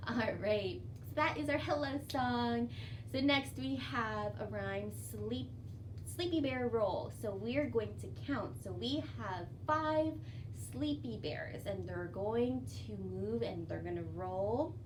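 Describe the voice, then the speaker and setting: A woman with a high voice reads and recites for young children in an expressive, sing-song storytime style. She takes her time over the words. The mic is a little distant, with faint noise behind her. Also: slow. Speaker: children's librarian leading storytime. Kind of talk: children's storytime with songs, rhymes and read-aloud.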